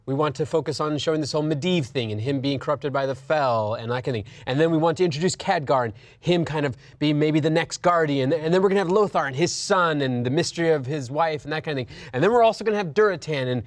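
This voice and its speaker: A man's voice: on the high side, fast talker, few pauses, no room sound. Man in an emphatic casual discussion, dry sound. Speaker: talk show panelist.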